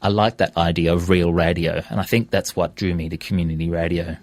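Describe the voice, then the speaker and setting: A man's voice: low voice, steady tone, brisk, no echo. An Australian man talking conversationally as a radio presenter and podcaster being interviewed in a studio.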